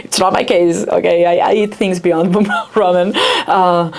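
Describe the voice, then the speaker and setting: Brazilian woman, chatty and low-pitched. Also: steady tone, a little slow, a little hiss or hum. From Brazil, Rio de Janeiro. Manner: chatty, many fillers like "like" and "yeah". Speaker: startup founder, guest. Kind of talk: casual interview talk.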